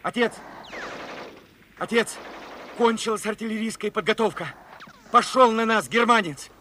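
High-voiced eager young soldier. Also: male voice, unhurried, bright, forceful tone, a little hiss or hum. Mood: urgent, excited. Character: eager young soldier son, naive and wondering. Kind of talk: Soviet radio play acting.

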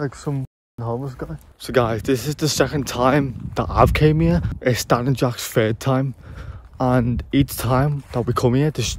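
A man's voice: mid-range voice, darkish tone. A young man talking casually as an exploring vlogger outdoors at night. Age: young adult. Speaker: vlogger and paranormal investigator. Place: outdoors at night near abandoned building.

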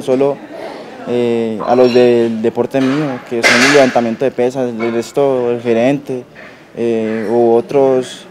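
A man's voice: mid-range voice, very noisy. A nineteen-year-old man with a Colombian accent from Valle del Cauca, speaking plainly and informally as an athlete in a documentary interview. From Yumbo, Valle del Cauca, Colombia. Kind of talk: documentary interview, personal account. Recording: music under the voice. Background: music.

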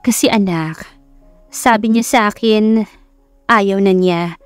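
A Filipino woman voicing a gentle older mother in an audiobook, careful and pleading with her grown son. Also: mid-range voice, slow pace, clear, resonant tone, no echo, soft background music, lightly acted. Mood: hesitant.

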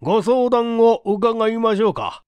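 A man's voice: very high-pitched, a little slow, a little mellow, clean tone, no room sound, pitched above the performer's own voice. A man voicing a composed police chief in an audiobook, with a formal, businesslike manner.

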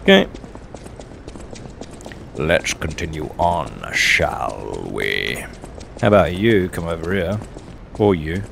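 Low-voiced man doing casual gaming commentary. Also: big pitch swings, slow pace, creaky, pauses often, noisy background. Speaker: let's play host. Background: video game sound.

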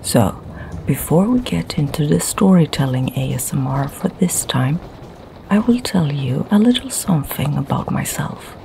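A middle-aged woman from Sweden with a Swedish accent, whispering ASMR stories softly and slowly in her home library. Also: sits low, lively intonation, vocal fry, a little hiss or hum, poor audio. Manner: soft, slow whisper. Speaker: ASMR creator and storyteller.

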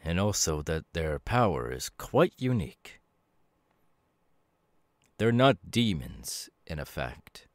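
A gentle, melancholy demon who was once human , acted by a man in an ASMR audio roleplay. The voice is extremely low and slow, close and very dry, with reflective pauses between phrases. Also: big pitch swings, no room sound, exaggerated voice. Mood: quietly warning. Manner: measured, pausing, reflective. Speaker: voice actor.

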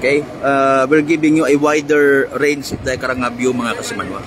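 A man with a Filipino accent gives live, improvised news commentary as a field reporter, speaking haltingly and directly to viewers on a phone. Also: mid-range voice, fairly even intonation. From Philippines. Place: outdoors on a road at a fire scene. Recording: noisy outdoor roadside with vehicle noise.

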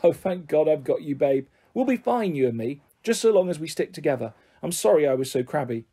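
A woman's voice: sits low, sing-song, brisk, warm tone, breathy edge, full character voice. A woman voicing an anxious, snappish but loving mother in a storybook. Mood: anxious, fond.

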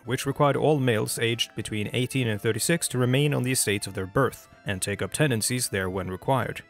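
A Norwegian man reads his scripted critique at a quick clip, in a voice of middling pitch. His tone stays brisk, critical and matter-of-fact. Faint music plays underneath. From Norway. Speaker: video essayist. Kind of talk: scripted commentary.

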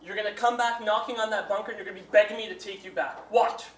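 A man's voice: high-pitched, steady tone, a bit bright. A man playing a jilted survivalist husband, echoey and distant. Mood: indignant, shouting. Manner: halting, repeating himself, flaring into exasperation. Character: jilted, boastful survivalist husband, clinging and indignant. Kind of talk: live two-person comedy stage sketch. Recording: echoey stage, distant mic, faint noise.

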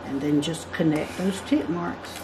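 A woman with a fairly low voice talks through a drawing lesson, explaining each step in a chatty way as she works. She is recorded indoors at a work table by a camera above it. Faint background noise sits under the voice. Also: unhurried. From United States. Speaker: craft instructor. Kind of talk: drawing tutorial.

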